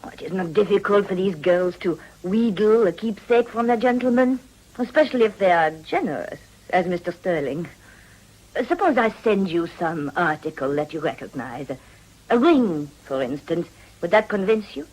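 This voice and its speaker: An actress playing a worldly, discreet middle-aged brothel madam in a period radio drama, with a suave, unhurried, courteous manner. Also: female voice, fairly low pitch, lively intonation, a little mellow, rough recording. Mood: matter-of-fact.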